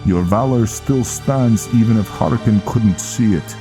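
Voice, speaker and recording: A man's voice: on the low side, measured pace, music in the background, voice pushed up. A man voicing a loyal, roguish vampire knight vanguard in a theatrical, earnest manner for a game roleplay. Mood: warm, earnest.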